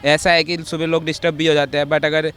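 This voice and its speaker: A man giving a measured opinion in an outdoor street interview, mixing in English words. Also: fairly high pitch, level tone, a bit bright, clear, resonant tone. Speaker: member of the public giving opinion.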